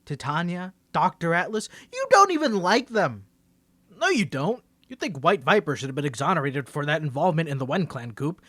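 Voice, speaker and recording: A man's voice: a little high, sing-song, a little fast, fairly bright tone, no echo. Guy doing a teasing superhero hiding his identity, asmr roleplay, stammery, voice jumps all over, super dry sound. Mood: defensive, animated. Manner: stammering, teasing, swings from confident to nervous. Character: cocky young male superhero, flustered, hiding his secret identity.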